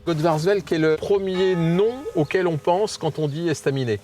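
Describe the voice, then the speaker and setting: A man's voice: on the high side, moderate pace, dark tone, slight background noise. A man who writes a guidebook, explaining the area with frequent hesitations. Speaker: guidebook author.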